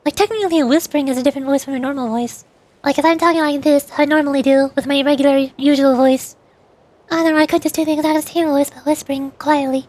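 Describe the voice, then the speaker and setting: A woman's voice: high-pitched, fairly even intonation, fast, darkish tone. A woman chatting casually on a livestream, rambling, repeating and correcting herself as she talks about voice acting. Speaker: streamer and amateur voice actor.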